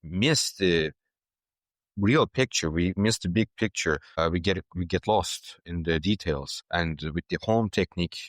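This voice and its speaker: A male medical student from Turkey, answering interview questions slowly and deliberately, with pauses. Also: sits low, no echo.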